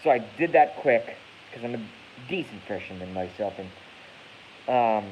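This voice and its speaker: A man's voice: medium pitch, lively intonation, moderate pace, a little mellow. A man in his thirties from Massachusetts, ranting casually on a live stream in a rambling, profane way that often corrects itself. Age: 30s. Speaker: YouTube streamer. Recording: dull lo-fi with faint hiss.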